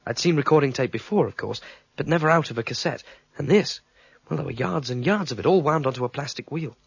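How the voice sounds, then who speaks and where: An actor playing a curious, stubborn citizen with a British accent in a 1970s radio drama, dry and dismissive. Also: male voice, on the high side, fast talker, vocal fry, slightly rough, no echo. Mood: musing. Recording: dull radio recording, faint music.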